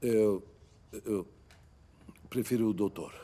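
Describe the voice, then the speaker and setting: A man's voice: fairly low pitch, dark tone. A male actor with a Brazilian accent dubbing a proud, irritable middle-aged prince who stammers and speaks with stiff formality. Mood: stiff, guarded. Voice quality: stammer with halting repeated words. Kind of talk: film dubbing in Portuguese.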